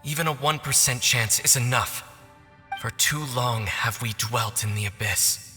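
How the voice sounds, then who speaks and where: A man voicing a solemn, resolute young prince of a dark order in a video game, speaking formally and with command. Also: mid-range voice, unhurried. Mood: determined, commanding. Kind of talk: video game cutscene voice acting. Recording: long hall reverb, music behind.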